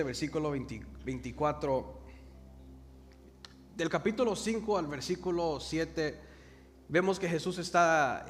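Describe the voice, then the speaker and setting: A young man from El Salvador with a Salvadoran Spanish accent, preaching a sermon and calling the congregation to repeat words after him. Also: on the high side. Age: young adult. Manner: calls the congregation to repeat words, slows for emphasis. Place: church hall. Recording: reverberant hall with faint noise.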